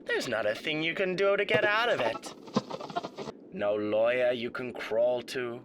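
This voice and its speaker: A man's voice: medium pitch, a little slow, noisy background, lightly acted. A man voicing a suave, menacing radio demon in a theatrical roleplay monologue, mock-polite, pompous and taunting. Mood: gloating threat.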